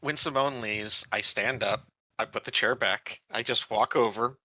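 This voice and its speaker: Bright-voiced man player, dry dull mic. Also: medium pitch, normal speed, no echo. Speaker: player in a tabletop roleplaying game. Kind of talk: casual first-person description of game actions.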